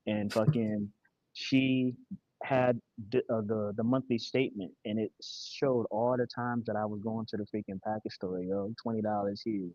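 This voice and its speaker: A man's voice: lowish voice, steady tone, moderate pace, a little mellow, no room sound, dull audio. A man in his forties from Connecticut, a podcast guest talking candidly in casual, profane slang. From Connecticut, USA. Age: 40s.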